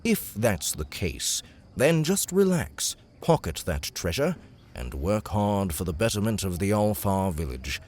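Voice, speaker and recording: Courtly, animated fantasy king. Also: lowish voice, a little slow. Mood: amused, generous. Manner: courtly, formal, theatrical. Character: genial, gracious fantasy elven king, tall and lanky. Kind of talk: audiobook character acting.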